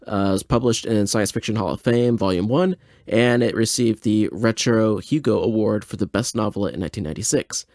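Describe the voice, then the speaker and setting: Low-voiced, casual male podcast host, dry sound. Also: fairly quick, a little mellow, no echo. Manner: informal, rambling. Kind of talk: casual, chatty show introduction.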